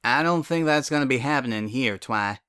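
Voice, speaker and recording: A man's voice: mid-range voice, expressive, moderate pace, bright, forceful tone, clear, resonant tone, no room sound, clean studio sound. A man voicing a stubborn, flustered country mare with a folksy, plain-spoken manner in a story reading. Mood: reluctant. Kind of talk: dramatic fan fiction reading.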